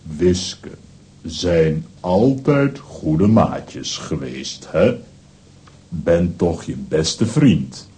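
A gruff but good-natured strongman, with a deep, dark voice. He speaks very slowly in clipped scraps of sentences, in the style of a children's radio play. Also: animated, exaggerated voice. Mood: kindly, reproving. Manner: terse, clipped telegram sentences without articles.

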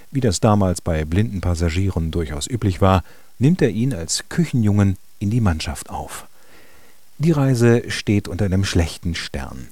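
A man reading scripted radio drama narration in a measured storytelling manner. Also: sits low, a little mellow, husky, no echo. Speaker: narrator and announcer.

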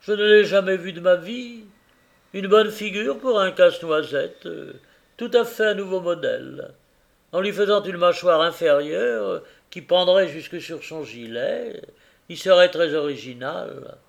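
A man's voice: high-pitched, unhurried, vocal fry, pitched above the performer's own voice. A man doing a meek old toymaker. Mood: timid. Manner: timid, deferential.

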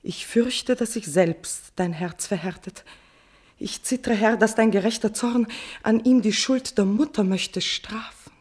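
A tragic queen in a classical verse radio play, consumed by forbidden love. She declaims in a mid-pitched voice, feverish and stately, sinking into whispers and swelling into passionate outbursts. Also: unhurried, a little mellow. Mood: anguished, fearful. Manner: impassioned declamation, sudden drops to breathy whispers and swelling outbursts. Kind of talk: classical verse tragedy, stage-like radio drama acting.

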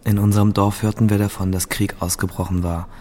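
An actor playing a quiet young village man displaced by war, telling his story plainly in a radio play. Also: fairly low pitch, little pitch movement, a little fast, slight background noise, lightly acted. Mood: subdued, recounting.

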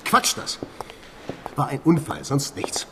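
Officious police chief. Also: male voice, fairly high pitch, expressive, vocal fry, fairly bright tone, a little hiss or hum, full character voice. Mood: irritated. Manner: formal, officious, brisk. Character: businesslike male police detective chairing a case briefing. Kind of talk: radio drama acting.

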